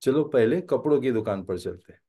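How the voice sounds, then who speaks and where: Indian guy doing an english lesson, higher side voice but mellow, repeats phrases and explains them. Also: animated, a little fast, breathy edge. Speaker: English teacher. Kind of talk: bilingual language lesson, reading example sentences and explaining them.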